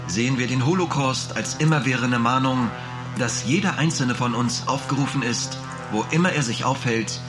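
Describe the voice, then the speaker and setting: A solemn male narrator played by an actor delivers a scripted memorial commentary. His voice is medium in pitch and grave in manner, with plucked guitar music clearly behind it. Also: lightly acted. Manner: grave, measured.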